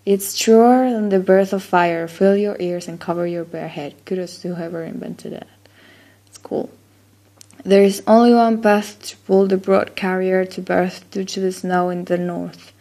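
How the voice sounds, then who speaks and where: Slow, gravelly Chilean Spanish-accented woman. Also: mid-range voice, warm tone. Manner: unsure, trailing off with fillers. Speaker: accent-tag vlogger. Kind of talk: casual reading of a word list.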